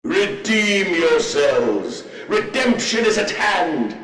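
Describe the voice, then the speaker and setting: A fanatical machine villain from a video game, heard from a distance in an echoing space. The voice is slow and rather high, swinging widely as it preaches with grandiose scorn. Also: male voice, big pitch swings, a bit bright, full character voice. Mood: fanatical rapture. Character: sentient industrial machine, fanatical, mocking male zealot.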